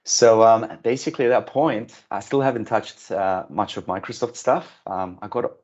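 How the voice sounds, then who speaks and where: Bosnian-accented man in his late 30s, lowish voice, relaxed rambling intro, muffled call audio. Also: normal speed. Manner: rambling, many ums and uhs. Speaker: software engineer at a tech company. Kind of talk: casual self-introduction on a video call. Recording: dull lo-fi video call audio.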